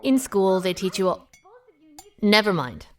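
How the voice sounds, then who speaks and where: Dry, guarded servant, expressive pitch. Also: female voice, unhurried. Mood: brisk, scolding. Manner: dry, terse. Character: guarded, dry, no-nonsense woman working as hired kitchen help. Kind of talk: audio drama acting.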